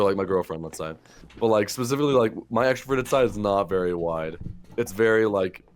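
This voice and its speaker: Young male podcast co-host, low voice, loose and profane, full of "like" fillers. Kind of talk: casual chat.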